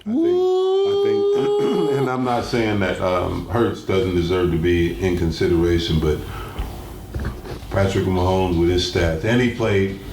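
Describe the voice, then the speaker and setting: A man co-hosting casual sports talk, offering opinions in a halting, hesitant way. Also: sits low, sing-song. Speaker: sports show co-host and analyst. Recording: roomy echo, audible background noise.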